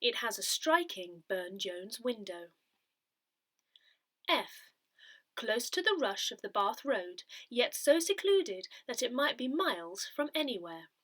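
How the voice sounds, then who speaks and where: A woman reading a parish newsletter and its notices aloud from a script, measured and careful with each detail. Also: a little high, a bit bright. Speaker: reader of a parish newsletter audio edition.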